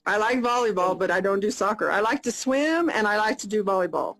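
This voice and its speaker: An American woman in her fifties hosting a casual live video chat, upbeat and repeating her questions slowly and plainly. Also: medium pitch, expressive, fairly bright tone, soft background music. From United States. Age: 50s.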